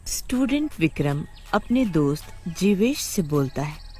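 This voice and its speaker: Expressive woman storyteller with animated intonation. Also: low-pitched, measured pace, breathy edge, faint music behind. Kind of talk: scripted dramatic story narration.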